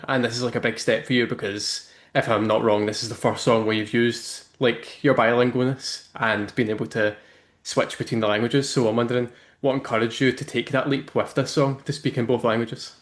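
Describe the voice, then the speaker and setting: Measured male interviewer, mid-pitched. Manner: measured, with casual likes in questions. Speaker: interviewer and host. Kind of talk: scripted intro then casual interview questions.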